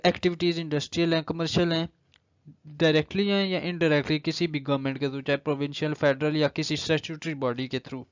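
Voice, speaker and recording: A man with a Pakistani accent giving an explanatory law lecture, reading clauses aloud deliberately and then explaining them. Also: fairly high pitch, little pitch movement, dry recording. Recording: slightly dull, lo-fi audio.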